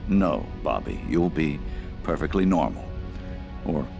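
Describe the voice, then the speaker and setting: An actor playing an erudite mutant scientist in a video game, articulate, wordy and wry. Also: male voice, very animated, a little slow, heavily acted. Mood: wry, pleased. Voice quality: deep, gravelly. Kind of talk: video game cutscene acting. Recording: music clearly behind the voice.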